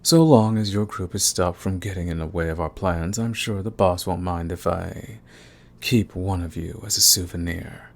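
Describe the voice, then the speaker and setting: Man acting a deep-voiced, smug villain. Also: steady tone, vocal fry. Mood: calm threatening. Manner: taunting, smug, unhurried threats. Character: cocky, menacing male villain, coolly fond of his enemy. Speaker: villain in an audio roleplay. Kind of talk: ASMR roleplay acting, addressing the listener.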